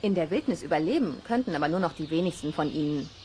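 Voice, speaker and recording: A woman's voice: sits low, animated, fast talker, darkish tone, slight background noise. Assured female biologist, faint ambience. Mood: matter-of-fact, assured. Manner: plain, assured explaining. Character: matter-of-fact female wolf biologist. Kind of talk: children's audio play dialogue.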